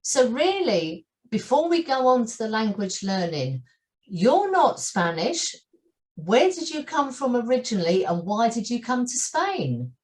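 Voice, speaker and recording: Lively British woman hosting a podcast. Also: on the high side, unhurried. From United Kingdom. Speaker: podcast host. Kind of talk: interview hosting.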